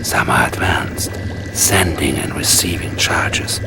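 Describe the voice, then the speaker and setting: A man playing a sinister, calculating Soviet psychic adviser with a Russian accent, speaking in a hushed, deliberate, insinuating way in a video game cutscene. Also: extremely low pitch, noisy background, exaggerated voice. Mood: sly, ominous.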